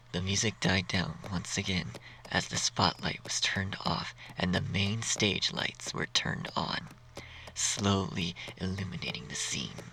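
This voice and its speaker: A man's voice: low-pitched, creaky, poor audio. A man reading a scripted story as its narrator.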